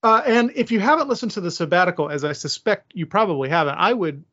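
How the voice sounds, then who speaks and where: Higher-voiced man hosting a dry podcast. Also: lively intonation, fast, a bit bright, dry recording, dull audio. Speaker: podcast host.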